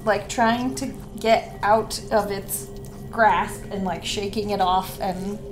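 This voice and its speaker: A woman's voice: mid-range voice, fairly even intonation, music in the background. A woman playing a tabletop role-playing game, protesting and joking as her character is trapped. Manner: playful, quick to laugh and protest. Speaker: player.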